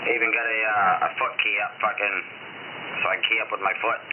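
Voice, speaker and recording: A man's voice: fairly low pitch, flat delivery, fast talker. Man on very noisy, narrow CB radio. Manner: blunt, heavy casual swearing. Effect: CB radio transmission. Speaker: CB radio operator, self-described novice. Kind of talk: casual radio chat. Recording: narrow CB radio, loud static noise.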